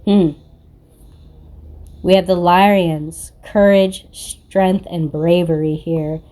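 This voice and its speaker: A woman's voice: fairly low pitch, fairly even intonation, slow, warm tone. A woman giving a casual spiritual card reading in a blunt, confrontational manner, swearing freely. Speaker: tarot card reader and spiritual content creator.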